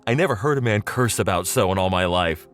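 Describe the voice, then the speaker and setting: An old pal in a radio-style drama, speaking straight to the listener in a lowish, very lively voice. He is chummy and rambling, with a needling familiarity that carries veiled menace. Music plays faintly behind him. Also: brisk. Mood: reminiscing, needling. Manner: conversational, rambling, chuckling, pointedly familiar. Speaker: actor in an audio drama. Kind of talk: audio drama monologue spoken directly to the listener as an old friend.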